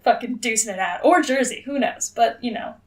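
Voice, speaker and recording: A woman's voice: a little high, a little fast. A woman co-hosting a podcast with casual comedic banter, blunt, sarcastic and freely sweary. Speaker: podcast co-host.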